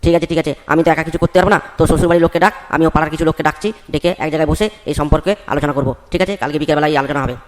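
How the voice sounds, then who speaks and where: A man's voice: on the high side, steady tone, rapid-fire, few pauses, lightly acted. A man voicing a blunt village man in a comedy cartoon, scolding and judging his neighbour in crude words. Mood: questioning.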